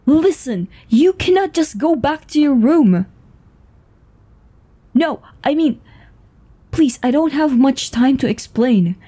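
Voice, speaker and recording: A woman's voice: sits high, animated, slow pace, pauses often, dark tone. A woman voice actor playing a tense, foul-mouthed, commanding woman with a secret in a dramatic audio roleplay, urgent and swearing. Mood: panicked, angry. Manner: swearing, urgent, breathy sighs and stammers. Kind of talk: dramatic ASMR roleplay acting.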